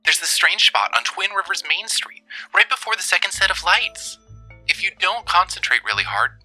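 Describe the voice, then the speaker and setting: A performer voicing an earnest, mystified storyteller in an audio drama. The voice is very bright and lively in pitch, confiding, with music underneath. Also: normal speed, heavily acted, poor audio. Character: earnest, mystified listener telling an eerie story. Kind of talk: dramatic first-person storytelling.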